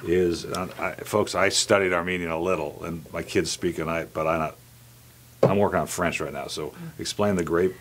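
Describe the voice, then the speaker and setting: A man's voice: low voice, steady tone, moderate pace, background noise. A man in his fifties hosting a wine tasting interview in a studio set like a wine shop, chatty and addressing viewers as folks. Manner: chatty, talks to viewers as folks, trails off and restarts. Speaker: wine club owner and host.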